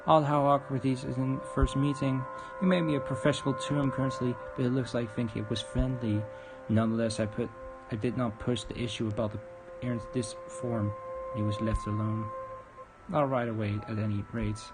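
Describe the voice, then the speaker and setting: A man's voice: medium pitch, level tone, normal speed, low-quality recording. A man livestreaming a visual novel, reading narration aloud informally with stumbles and casual commentary. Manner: informal, stumbling over read text. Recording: game music clearly behind voice. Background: game music.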